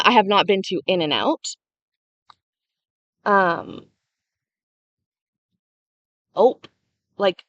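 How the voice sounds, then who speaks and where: A woman's voice: medium pitch, dry recording, dull audio. A young woman hosting a casual livestream, chatting freely and answering viewers' comments as they come in. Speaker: livestream host.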